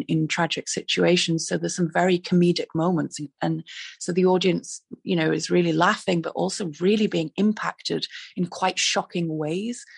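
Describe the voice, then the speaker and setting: A woman playwright with a hoarse, raspy voice, talking earnestly about her plays in an interview over a video call, with many fillers and restarts. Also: fairly low pitch, no echo. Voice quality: raspy, gravelly. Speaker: playwright guest.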